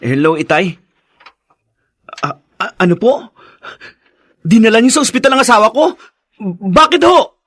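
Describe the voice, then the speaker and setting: An actor playing a worried, devoted young husband in a radio drama, earnest and concerned. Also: male voice, high voice, sing-song, a little slow, long pauses, a bit bright, full character voice. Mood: alarmed. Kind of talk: Tagalog radio drama acting.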